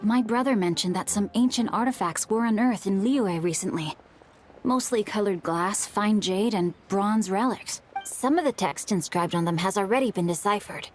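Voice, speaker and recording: A woman voicing a bookish, well-spoken young boy scholar in a video game, explaining things in a measured, articulate way. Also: medium pitch, close to an everyday voice. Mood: earnest, informative.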